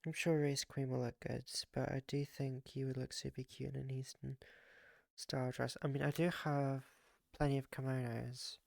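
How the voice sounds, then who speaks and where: A live streamer with a raspy, groggy morning voice, chatting casually with viewers and rambling with many fillers and restarts. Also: medium pitch, level tone, normal speed, darkish tone, dry recording, clean studio sound.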